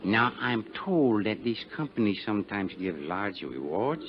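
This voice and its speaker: A shady French-accented gangster in an old radio drama. His voice sits on the low side and swings freely, oily and evasive with courteous menace. The muffled vintage broadcast has crowd murmur behind it. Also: very animated, a little slow, slight background noise. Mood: smooth, guarded. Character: shady, suave Algiers gangster, sly and menacing. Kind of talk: 1950s radio drama acting.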